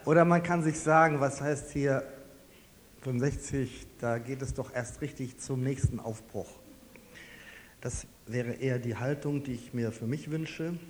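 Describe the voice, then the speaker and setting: A man in his sixties from Westphalia, preaching to a small gathering in a conversational, personal way with lively emphasis. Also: medium pitch. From Westphalia, Germany. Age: 60s. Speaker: pastor. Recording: reverberant hall with faint hiss.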